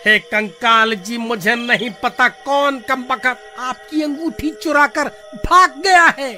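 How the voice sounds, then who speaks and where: Very high, bright, agitated village man acted by a man. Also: slow, full character voice. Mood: pleading, frightened. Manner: whiny, exclaiming, agitated. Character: panicky, irritable village man, pleading and excitable. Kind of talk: animated story acting. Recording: faint music behind the voice.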